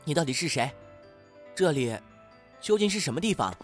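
A man's voice: a little high, sing-song, moderate pace. A man playing an awkward, skeptical young man in an audio drama, jumpy and easily flustered. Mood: defensive. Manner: stammering, jumpy, incredulous. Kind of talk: Chinese audio drama acting. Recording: faint music behind the voice.

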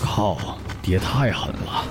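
A man's voice: sits low, big pitch swings, slow, heavy background noise, exaggerated voice. A man voicing an eager, placating orc husband who gives running commentary in a fan audio drama. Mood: amazed.

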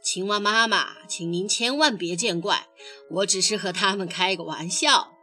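Woman as big catfish, storybook character, gruff, grumbling, pushed-down pitch, faint music. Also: moderate pace, sharp tone, natural voice. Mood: placating. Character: grumpy big male catfish, gruff but joking.

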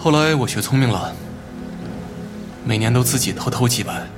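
An actor playing a guarded, grieving son in a Chinese audio drama, speaking in a measured, reflective way. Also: male voice, mid-range voice, close to an everyday voice. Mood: somber, reflective. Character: grieving, guarded adult son of a wealthy family. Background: prominent background music.

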